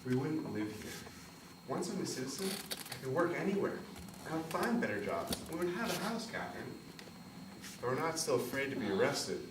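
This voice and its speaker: A man plays a proud young Italian immigrant with an Italian accent, his voice ranging widely in pitch as it moves from soft tenderness to furious protest. The recording is roomy and taken from a distance, with faint noise behind. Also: very animated, a little fast. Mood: earnest. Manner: swings from tender murmurs to impassioned outbursts. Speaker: stage actor. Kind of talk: stage play performance.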